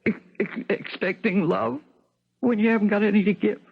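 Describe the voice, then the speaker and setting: Troubled wife, 1970s radio drama: husky, halting, plain-spoken, hates herself, jokes to cope. Lo-fi broadcast. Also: female voice, mid-range voice, steady tone. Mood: anguished.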